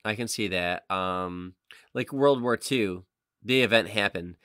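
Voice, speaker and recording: A man in a casual chat as a podcast co-host, thinking out loud and swearing casually. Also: fairly low pitch, a little slow, clean tone, no echo, clean studio sound. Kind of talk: casual chat, guessing game among friends.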